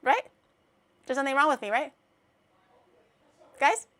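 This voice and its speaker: A woman's voice: sits high, big pitch swings, slow, background noise. A woman in her twenties streaming, chatting casually while reacting to clips, rambling, self-mocking and laughing often. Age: 20s.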